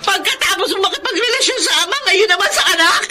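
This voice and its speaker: A woman's voice: extremely high pitch, animated, fast. A woman playing an aggrieved adult daughter in a Filipino radio drama, tearful, scolding and impassioned, over music. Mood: furious, tearful. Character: outraged adult daughter shaming her sinful mother.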